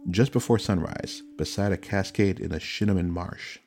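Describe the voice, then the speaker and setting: Deep-voiced man narrating fantasy fiction. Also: moderate pace. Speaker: audiobook narrator. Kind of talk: scripted fantasy story narration.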